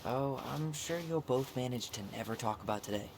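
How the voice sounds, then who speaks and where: With noticeable noise behind him, an actor plays a young American man of average pitch. He is an earnest, gently insistent brother, supportive and natural, as in a story game cutscene. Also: a little fast, close to an everyday voice. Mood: reflective, grateful. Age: young adult.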